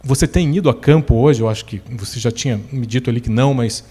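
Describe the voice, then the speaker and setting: A man with a Brazilian accent moderating a stage conversation, haltingly working his way toward his questions. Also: mid-range voice, mellow, breathy edge. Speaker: interviewer, moderator. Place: indoor cultural venue, stage talk. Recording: reverberant hall.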